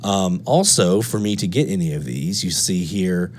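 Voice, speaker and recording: Low-voiced American man explaining. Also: normal speed. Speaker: radio hobby host. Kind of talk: unscripted explanatory commentary. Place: indoor room at a radio desk. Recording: steady background noise and hum. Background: hum and noise.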